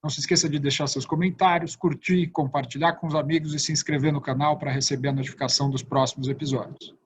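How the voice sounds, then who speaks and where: A man's voice: fairly high pitch, steady tone, fast talker. A man with a Brazilian Portuguese accent who hosts a travel talk show and interviews a guest, often ending his points with "né?". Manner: warm-up tags "né?" after statements. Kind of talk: hosting an interview. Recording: dull lo-fi call audio.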